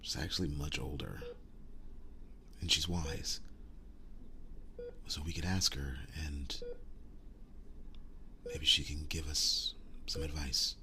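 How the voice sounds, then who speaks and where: A man playing a protective, world-weary young werewolf boyfriend in an intimate ASMR roleplay, speaking in a breathy, halting hush. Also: steady tone, noisy background, exaggerated voice. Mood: gentle, pleading. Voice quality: breathy, hushed, very low voice. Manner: halting, slow, soft-spoken with long pauses.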